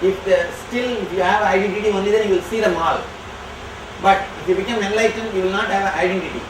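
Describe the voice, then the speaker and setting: A man whose first language is Tamil, answering audience questions as a spiritual teacher, speaking slowly and emphatically. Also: high voice, steady tone. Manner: slow, emphatic and declarative. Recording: echoey hall from far off, noisy.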